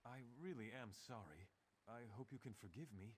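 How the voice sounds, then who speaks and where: A man's voice: mid-range voice, animated, moderate pace, slightly rough. An actor voicing a forgetful young male messenger in a fantasy game, flustered and apologetic. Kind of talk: game voice acting.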